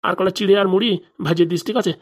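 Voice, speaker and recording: A woman's voice: mid-range voice, steady tone, racing, close to an everyday voice. A woman playing a scheming, impatient moneylender father in a comic cartoon, blustering and insistent. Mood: determined, blustering.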